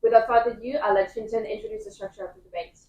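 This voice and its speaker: A young woman opening a student debate with formal announcements, measured with small fillers. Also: a little high, steady tone, mellow, roomy sound, far from the mic, lo-fi sound, a little hiss or hum. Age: young adult. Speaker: debate moderator.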